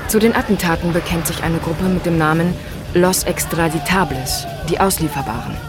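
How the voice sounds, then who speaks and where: Erzählerin einer Dokumentation, deutlich mit Musik unterlegt. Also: female voice, on the low side, moderate pace, a crowd in the background. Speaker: narrator. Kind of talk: scripted documentary narration. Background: music.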